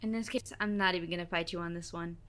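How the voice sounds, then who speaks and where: Woman as a bright, sardonic teenage best friend. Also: lowish voice, steady tone, fast talker, natural voice. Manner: dry, sarcastic, exasperated. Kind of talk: audio drama acting.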